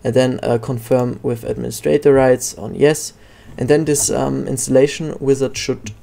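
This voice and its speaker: A man narrating a casual software tutorial in a hesitant, halting way. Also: mid-range voice, fairly even intonation, vocal fry. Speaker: tutorial host.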